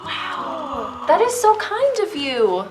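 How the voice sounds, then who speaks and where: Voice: very high voice, very animated, slow pace, heavily acted. An amateur performer with a female voice playing a grateful, polite blacksmith in a storybook reader's theater play. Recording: slightly distant mic, faint music.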